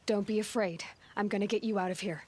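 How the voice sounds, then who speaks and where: An actress playing a stern but compassionate young huntress in a video game, with a direct, intense manner. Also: female voice, mid-range voice, fairly even intonation, fast talker, mellow, lightly acted. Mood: gentle, reassuring.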